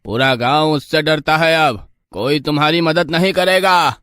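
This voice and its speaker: A man's voice: on the high side, measured pace, fairly bright tone, dry recording, studio quality. A man playing a worried, cautioning middle-aged uncle in a horror story, speaking earnestly. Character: cautious, worried village uncle advising his nephew.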